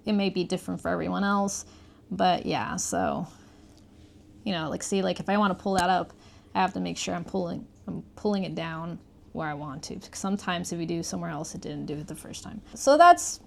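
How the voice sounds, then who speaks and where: A woman's voice: fairly low pitch, a little fast, vocal fry, a little hiss or hum. A woman giving a casual, conversational product review, explaining things as she goes.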